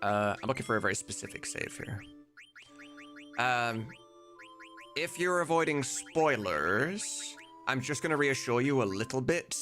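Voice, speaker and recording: A man's voice: medium pitch, lively intonation, unhurried, pauses often, sharp tone, soft background music. A man chatting casually on a live stream, rambling and informal with some swearing. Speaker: live streamer and game modder.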